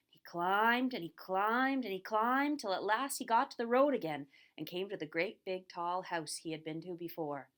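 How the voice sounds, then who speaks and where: A woman's voice: mid-range voice, fairly bright tone. A woman narrating a scripted children's story as the storyteller of a puppet show.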